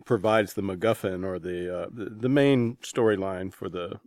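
Male podcast interviewer, lowish pitch, close dry sound, informal halting delivery. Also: a little mellow, no room sound. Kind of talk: casual interview chat.

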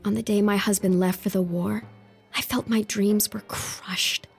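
A spirited young heroine. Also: female voice, mid-range voice, steady tone, a little slow, vocal fry, slightly rough, soft background music. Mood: earnest. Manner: spirited, wry, determined. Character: bold young woman from Constantinople. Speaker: voice actor in an audio drama. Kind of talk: audio drama acting.

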